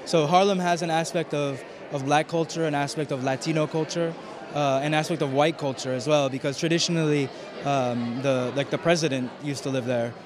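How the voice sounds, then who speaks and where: A man giving a festival interview as a film director, speaking haltingly as he searches for words. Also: on the high side, steady tone. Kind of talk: red carpet interview. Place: film festival red carpet. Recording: busy crowd and urban noise behind. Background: crowd chatter.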